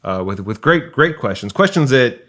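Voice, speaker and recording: A man's voice: mid-range voice, sing-song, moderate pace, a bit bright. A man hosting a podcast, chatting casually with a guest and addressing listeners as a playwright and host. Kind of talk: casual interview chat and show outro.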